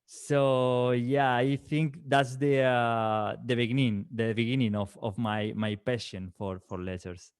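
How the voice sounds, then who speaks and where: A man's voice: mid-range voice, fairly even intonation, unhurried, few pauses, clear, resonant tone. A man in his late thirties from Valencia, Spain, a native Valencian speaker, talking as a guest in a casual interview, often restarting his words and laughing. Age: 30s. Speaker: guest calligrapher.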